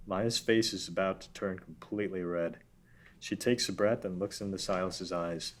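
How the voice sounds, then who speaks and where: A man's voice: deep voice, fairly even intonation, moderate pace. A man narrating stage directions at a casual table read, reading steadily.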